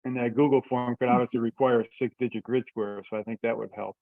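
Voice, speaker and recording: A man's voice: medium pitch, fairly even intonation, fast talker, creaky, dry recording. Hesitant man, dull lo-fi call audio. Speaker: event organizer presenting rules. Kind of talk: casual meeting discussion. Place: online teleconference call.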